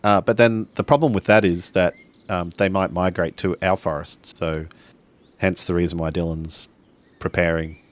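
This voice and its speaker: Very deep-voiced, rambling man. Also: steady tone, normal speed. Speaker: tabletop roleplay player. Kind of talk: casual tabletop roleplay banter.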